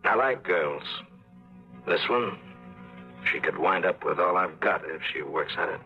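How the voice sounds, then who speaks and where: A man's voice: low-pitched, animated, a little slow, faint music behind. An actor playing a cold, smug male crook with a sneering, threatening manner in an old radio drama. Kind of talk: 1950s radio drama acting. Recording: muffled old radio broadcast.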